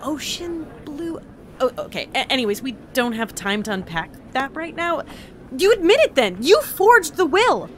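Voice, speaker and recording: A woman playing an exasperated, excitable agent from another reality in an audio drama, stammering and sarcastic, with background noise. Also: sits high, normal speed. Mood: accusing.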